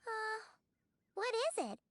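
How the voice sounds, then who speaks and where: A woman voicing a tiny, excitable fairy companion in a video game, chattering in a high squeaky voice and calling herself by name. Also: slow pace, no room sound, exaggerated voice. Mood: puzzled. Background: game music.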